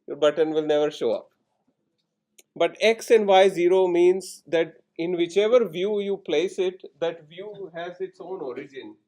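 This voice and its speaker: A man lecturing in programming, explaining each step in short instructional phrases with pauses between them. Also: sits high, darkish tone. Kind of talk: step-by-step classroom coding tutorial.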